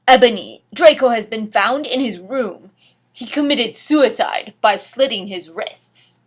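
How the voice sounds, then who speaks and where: A woman voicing a grave, angry old wizard headmaster in a slow, portentous manner for a comedic story reading. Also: on the high side, animated, breathy edge. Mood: grave anger.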